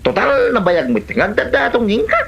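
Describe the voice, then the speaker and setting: Casting: actor for nagging, plain-spoken Ilocano relative, highly animated, sharp-edged delivery. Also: moderate pace, vocal fry, breathy edge, highs cut off, heavily acted. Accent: Filipino, Ilocano. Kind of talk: Ilocano comedy drama acting.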